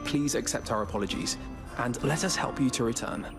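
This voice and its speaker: An actor playing a courteous, cheery young god of music in a game, with a genial manner. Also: male voice, mid-range voice, a little fast, natural voice. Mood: sympathetic. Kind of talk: scripted game acting. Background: music with guitar.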